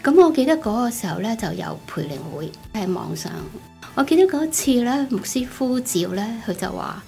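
A middle-aged woman with a Hong Kong Cantonese accent, giving a personal testimony in an expressive, conversational storytelling way. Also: fairly high pitch, normal speed, gravelly. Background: background music.

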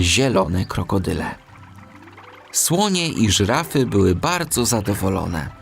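A man narrating a children's audiobook in an expressive storytelling style. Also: lowish voice, vocal fry.

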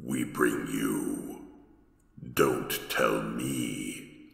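Croaking, extremely deep horror host. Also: male voice, fairly even intonation, heavily acted. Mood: slow menace. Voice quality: gravelly, croaking. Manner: slow, menacing, deliberate. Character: sinister male horror host telling bedtime frights. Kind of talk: horror radio show introduction. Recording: long cavernous reverb, noise.

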